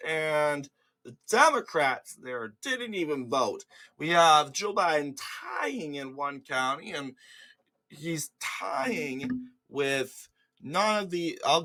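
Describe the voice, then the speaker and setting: A congested man with a somewhat high, cracking voice from a cold talks casually over his screen. He is mocking and dismissive, stumbling and restarting words as he goes. His voice is close on a desk mic. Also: pushed and bright. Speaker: political commentary host. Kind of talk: casual screen-share commentary.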